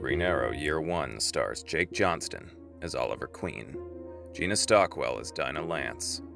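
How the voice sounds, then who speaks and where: Low-pitched male announcer over loud music. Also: expressive, unhurried, creaky. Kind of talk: scripted cast credits narration.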